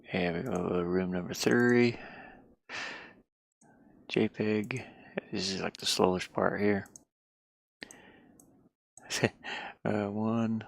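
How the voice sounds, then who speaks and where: A game developer chatting casually and haltingly while working at a computer, murmuring half to themselves. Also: low-pitched, fairly even intonation, vocal fry, no room sound. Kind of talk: casual screen-share chat while working. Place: inside a van.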